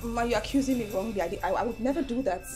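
A woman's voice: on the high side, fast, music in the background, lightly acted. A woman with a Nigerian Pidgin accent playing a young house cook who stammers and pleads her innocence. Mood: defensive. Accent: Nigerian Pidgin English.

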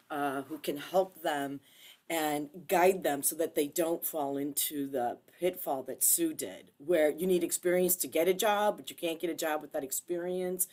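A woman university archivist and instructor on a video call panel, speaking earnestly and haltingly with many pauses. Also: on the low side, a little mellow.

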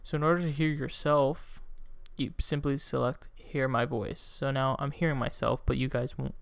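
A young man casually narrating a software tutorial as its host, close on a desktop microphone. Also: mid-range voice, fairly even intonation, moderate pace, creaky, no room sound. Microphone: close USB desktop microphone.

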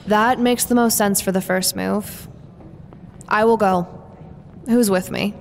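A resolute warrior played by a woman in a fantasy audio drama. Her delivery is flat and gravelly, set in a reverberant hall. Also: mid-range voice, slow pace. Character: resolute female former dark-army warrior. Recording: long hall reverb, faint noise.

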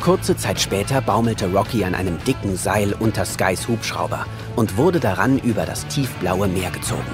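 A man's voice: on the low side, moderate pace, over music. A man narrating a scripted audio story for children.

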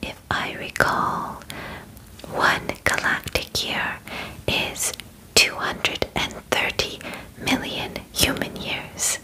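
Young woman doing soft breathy asmr whisper as a polite alien examiner, really slow and halting. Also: poor audio. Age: 20s. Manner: gentle, halting, measured, formal wording with short pauses. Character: polite, matter-of-fact female alien medical examiner. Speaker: ASMR roleplay performer. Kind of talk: whispered ASMR roleplay with personal attention. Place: small bedroom, indoors.

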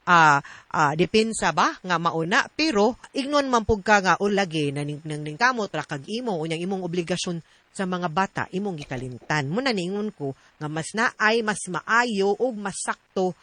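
Bright-voiced Cebuano Filipina radio legal adviser. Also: female voice, on the low side, expressive, moderate pace, no echo. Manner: explanatory, code-switching between Cebuano and English. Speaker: attorney and radio advice show host. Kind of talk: legal advice on a radio call-in drama program.

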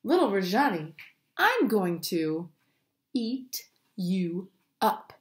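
Woman voicing a slow, menacing storybook tiger. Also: medium pitch, big pitch swings. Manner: drawn-out, deliberate menace. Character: boastful, greedy male tiger menacing a small boy.

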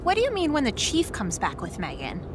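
A woman's voice: medium pitch, huge pitch swings, fairly quick, background noise. A woman playing a worried, blunt schoolgirl in a naturalistic audio drama, with an awkward, stammering way of talking. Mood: worried, insistent. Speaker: actor in a scripted audio drama.

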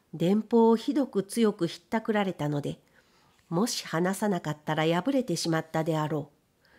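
A woman narrates classic fiction for an audiobook. Her voice sits at a medium pitch, and she reads from the text in a literary storytelling style. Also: darkish tone.

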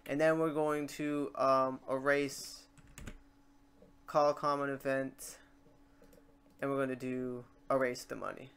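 A tutorial host chatting informally and thinking aloud in a meandering way while working through software steps. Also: medium pitch, flat delivery, slow pace, long pauses. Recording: noisy room, keyboard and mouse clicks. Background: typing and mouse clicking.

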